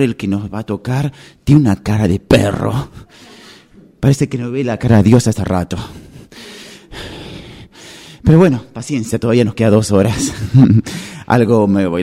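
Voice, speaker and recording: A man's voice: on the low side, mellow, lightly acted. A man with an Argentine accent playing an anxious, overwhelmed parent, fretting repetitively in an improvised radio theatre monologue. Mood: impatient, resigned. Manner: fretful, sighing, repetitive. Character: anxious, breathless, overwhelmed parent stuck waiting in line.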